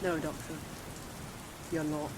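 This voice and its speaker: A woman's voice: low-pitched, flat delivery, slow. A woman playing a hot-tempered, stubborn young woman companion in an audio drama, with a blunt, challenging manner. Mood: defiant. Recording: loud rain and storm noise.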